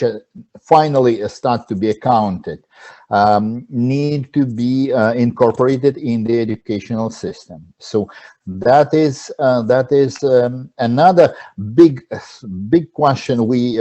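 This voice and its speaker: A man who is not a native English speaker, talking haltingly with many fillers as a panelist on a video call. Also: mid-range voice, mellow. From abroad, moved to Canada. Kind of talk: roundtable discussion on a video call.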